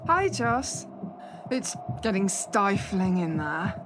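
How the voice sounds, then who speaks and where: A woman's voice: medium pitch, sing-song, slow, noisy background. An actress playing an earnest young woman new to a job in a radio play, polite and tentative. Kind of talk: radio drama acting.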